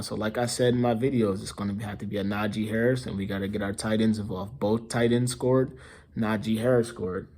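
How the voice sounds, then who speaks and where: A man's voice: lowish voice, a little mellow. A tired young man who is losing his voice gives a rambling, casual recap of a football game he watched, filling his talk with "you know". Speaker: sports fan vlogger.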